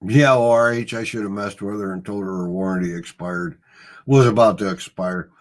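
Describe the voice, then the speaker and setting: Middle-aged male host, Midwestern accent, lower register, loose and chatty. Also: fairly even intonation, normal speed. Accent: Midwestern American. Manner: easygoing, rambling storyteller, chuckles often. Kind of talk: casual livestream chat.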